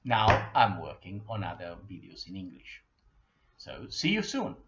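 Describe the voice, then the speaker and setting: A man with an Italian accent delivers a history lecture at an unhurried, deliberate pace, his pitch rising and falling widely for emphasis. He clears his throat now and then, and the recording sounds somewhat dull and lo-fi. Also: huge pitch swings, fairly bright tone. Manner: slow, measured, clears throat often.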